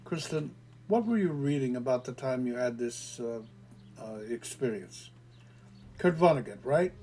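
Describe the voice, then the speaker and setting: A man reading an audio story, voicing a calm, skeptical grown man who patiently explains things away in a soothing, lecturing way. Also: medium pitch, measured pace, slight background noise, pitched below the performer's own voice, close to an everyday voice. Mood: patiently explaining.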